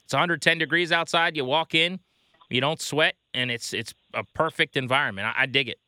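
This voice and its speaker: Very bright, forceful male sports host. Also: on the high side, a little fast, no room sound. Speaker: sports show host and interviewer. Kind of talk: casual conversational interview.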